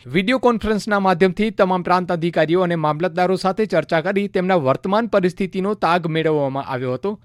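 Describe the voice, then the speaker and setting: A man's voice: high voice, no echo, clean studio sound. A man narrating a scripted radio news report as a correspondent.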